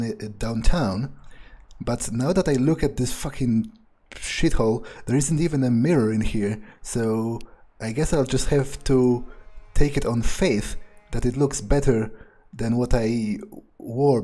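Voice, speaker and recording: A man's voice: mid-range voice, warm tone. A man improvising an in-character monologue for a game playthrough, musing hesitantly and sardonically, with some swearing. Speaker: gameplay video player speaking in character.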